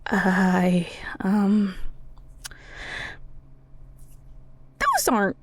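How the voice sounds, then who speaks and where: A woman's voice: medium pitch, huge pitch swings, drawn-out words, full character voice. A woman playing a blunt, bossy restaurant chef in an audio roleplay, speaking straight to the listener. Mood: flustered, panicked. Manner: stern, exasperated, blunt. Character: bossy Filipino-American restaurant chef, secretly a ghoul.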